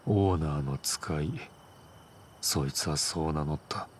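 An actor playing a proud, wounded Chinese mafia boss in a game cutscene, a middle-aged man speaking in a formal, solemn, measured way. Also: a bass voice, a little hiss or hum. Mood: somber recounting. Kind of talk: Japanese video game cutscene acting.

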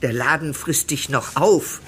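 A woman's voice: deep voice, measured pace, husky, heavily acted. An actress playing a doting, cheery older mother who fusses and coaxes in an audio drama. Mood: fussing, coaxing.

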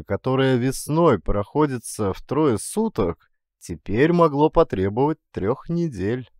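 A man narrating a literary story as an audiobook actor, with deliberate, dramatic phrasing and weighty pauses. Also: medium pitch, no echo, clean studio sound.

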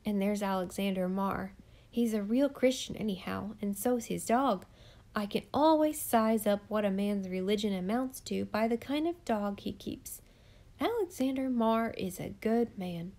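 Brief: a woman doing a spiteful eccentric gossip for a storybook, loud, scornful, voice a touch higher than her own. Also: expressive, a little slow. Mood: derisive. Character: sharp-tongued gossiping eccentric woman in church.

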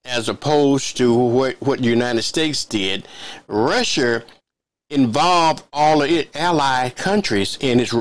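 Older man hosting, halting and rambling. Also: medium pitch. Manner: rambling, halting with many restarts. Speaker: TV show host. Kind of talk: casual interview chat. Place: home office, indoors. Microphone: headset on video call.

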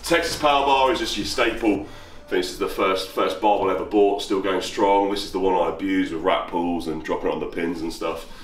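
Deep-voiced man touring his gym. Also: a little fast, few pauses. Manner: chatty, matter-of-fact, walking around pointing at kit. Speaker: strongman showing his home gym. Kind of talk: casual gym tour. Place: small wooden home gym barn. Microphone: handheld camera close up. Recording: echoey wooden room with faint music.

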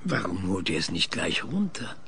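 Bright, forceful older professor. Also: male voice, low-pitched, very animated, moderate pace, vocal fry, slight background noise, exaggerated voice. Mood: probing. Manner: indignant, blustering. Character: irascible older professor, sidelined space mission trainer. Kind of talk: German audio drama acting.

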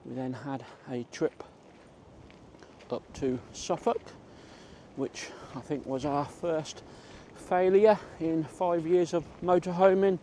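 A man's voice: on the high side, fairly even intonation, takes it slowly, mellow. A middle-aged man, chatting casually as a vlogger while walking outdoors. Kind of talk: casual walk-and-talk chat. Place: outdoors in a country park. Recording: faint outdoor ambient noise.

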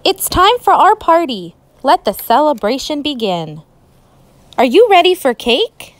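A woman teacher reading a children's storybook aloud in an expressive, emphatic way with dramatic pauses. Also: sits high, no echo.

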